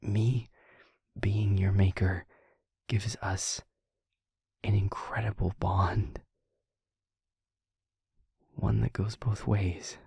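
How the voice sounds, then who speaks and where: A man playing a gentle, devoted vampire boyfriend in an intimate audio roleplay, speaking tenderly and earnestly in a husky half-whisper. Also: fairly low pitch, little pitch movement, dry recording, heavily acted. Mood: earnest, loving. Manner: slow, halting, tender, earnest delivery. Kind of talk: intimate audio roleplay, ASMR-like scripted acting.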